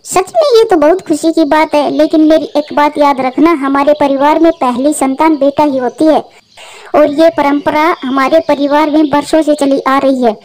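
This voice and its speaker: A woman's voice: high voice, moderate pace, darkish tone, close to an everyday voice. A woman playing a domineering, scolding old mother-in-law bird in a Hindi cartoon. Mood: stern. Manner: bossy, threatening.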